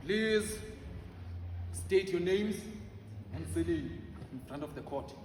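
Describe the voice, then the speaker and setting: A man's voice: a little high, expressive, slow. A man acting a formal, insistent courtroom lawyer in a stage play. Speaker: stage actor. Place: large auditorium stage. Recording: very echoey noisy auditorium, distant microphone.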